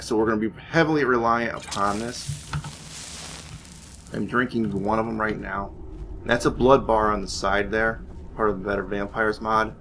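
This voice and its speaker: Low-voiced man doing casual game commentary. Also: fairly bright tone. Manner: rambling, thinking aloud. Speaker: gaming channel host. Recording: faint background noise.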